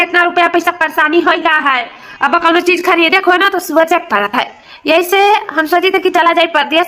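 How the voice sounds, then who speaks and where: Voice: very high-pitched, pushed and bright. A performer voicing a hot-headed, boastful village husband in a comedy cartoon, with a rural Awadhi accent and repetitive, colloquial talk. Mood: earnest.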